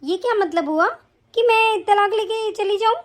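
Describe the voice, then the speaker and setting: A woman acting a high-pitched, wronged young wife. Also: moderate pace. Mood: indignant, defiant. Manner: pleading, indignant. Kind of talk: domestic family melodrama acting.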